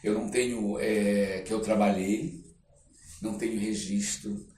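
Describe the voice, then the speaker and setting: An older man with a Brazilian accent, telling personal anecdotes as an interview guest in a halting, reflective way. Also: on the low side, steady tone, darkish tone. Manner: halting, reflective, frequent tag questions. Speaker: interview guest, actor and storyteller. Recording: roomy echo, clear background noise.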